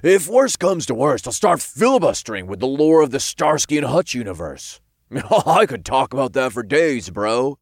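A man's voice: mid-range voice, big pitch swings, normal speed, no echo, studio quality, voice pushed up. A man voicing a brash, theatrical, self-important imp in an audiobook story. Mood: cocky. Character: cocky, slangy male imp, self-important sidekick.

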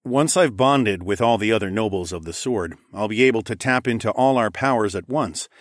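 A confident dragon lord performed by a man speaking frankly in a fantasy audiobook. The voice is fairly low, with a casual delivery and no room sound. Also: normal speed, no echo, studio quality, natural voice. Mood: explaining. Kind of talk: audiobook character dialogue.

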